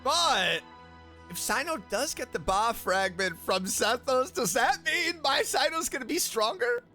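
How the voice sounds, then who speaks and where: A man's voice: extremely high pitch, lively intonation, unhurried, sharp tone. A man giving casual reactions as a streamer playing through a video game. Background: game music.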